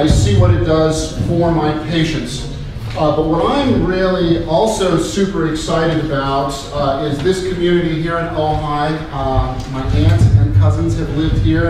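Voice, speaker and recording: A man with a big voice giving an energetic talk to a live audience in a large hall, heard from a distant camera without a microphone. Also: fairly high pitch. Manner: energetic, animated, moves around while talking. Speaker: guest speaker, clinician. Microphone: far camera, no microphone. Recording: very echoey hall, voice from far off, clear background noise.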